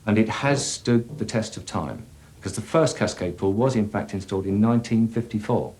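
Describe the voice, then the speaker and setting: A man giving a measured, matter-of-fact explanation as a company representative in an instructional sales film. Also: fairly low pitch, darkish tone, natural voice.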